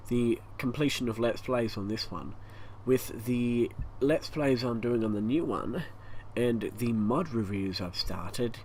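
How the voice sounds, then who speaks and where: Man, Australian accent, hoarse croaky voice, breathy, rambling, middle pitch, faint fan hum. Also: slow pace, darkish tone, low-quality recording. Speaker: YouTube gaming channel host. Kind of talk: casual channel update monologue. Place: hot home room with a fan running. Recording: faint fan noise behind.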